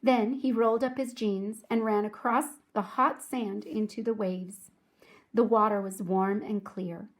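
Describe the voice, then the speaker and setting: A woman reading aloud to children like a teacher, speaking clearly and deliberately in a storybook narration style. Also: mid-range voice, fairly even intonation, rough recording. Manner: clear, deliberate, addresses young listeners.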